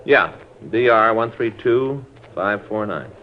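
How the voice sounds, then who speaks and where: A man's voice: on the low side, slow. A man acting an easygoing police detective partner in a 1950s radio drama, talking in a plain, informal, businesslike way. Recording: noisy, dull vintage radio recording.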